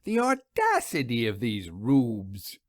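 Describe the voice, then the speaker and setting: A man's voice: medium pitch, wildly swooping pitch, takes it slowly, no room sound, clean studio sound. A man voicing a proud, indignant woman reporter, blunt and emphatic, in a story reading.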